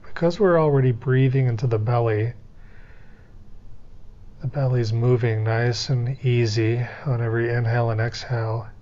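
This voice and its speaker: Male guided-meditation instructor, mid-pitched voice, gentle and unhurried delivery, somewhat muffled lo-fi sound. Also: fairly even intonation. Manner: gentle, unhurried step-by-step coaching with pauses.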